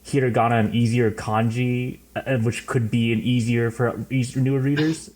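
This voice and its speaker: A man's voice: mid-range voice, little pitch movement, normal speed, a little mellow. A man interviewing on a podcast in a casual, chatty way. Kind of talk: casual chat.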